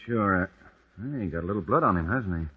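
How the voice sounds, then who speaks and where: An actor playing a brash young man caught in an accident, talking in a quick, cocky, reassuring way in a 1940s radio drama. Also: deep voice, expressive, husky, phone-quality sound. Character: brash young man named Mackenzie, trapped survivor.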